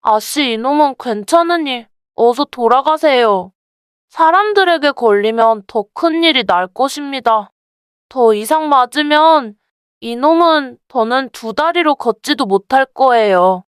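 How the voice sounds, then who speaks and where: A woman's voice: sits high, lively intonation, measured pace, clear, resonant tone, far from the mic. A woman voicing a young male bonded servant in a folktale, wary and timid but given to grumbling. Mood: anxious, deferential. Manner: deferential polite speech, wary. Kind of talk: dramatized folktale dialogue.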